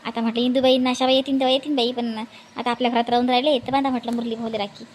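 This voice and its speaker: A woman's voice: fairly high pitch, level tone, racing, slight background noise, close to an everyday voice. A woman voicing chatty, homely Marathi village women in a family comedy cartoon. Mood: gossipy. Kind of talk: animated family comedy dialogue.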